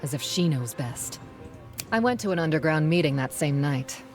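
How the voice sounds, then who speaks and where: Young rebel gal from a video game, lowish voice, plain-talking, folksy, fired up for the cause, bit of music under it. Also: female voice, expressive, moderate pace. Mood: determined. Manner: folksy, plain-spoken, earnest. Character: spirited young rebel schoolteacher turned frontline quartermaster. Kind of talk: video game character dialogue.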